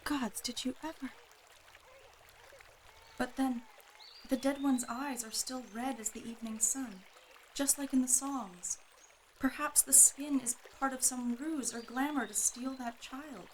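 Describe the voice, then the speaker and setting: A woman's voice: sits high, measured pace, long pauses, slight background noise. A woman playing an anxious, proper young woman in a fantasy audio drama, with formal, urgent and exclamatory speech. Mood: uneasy, questioning.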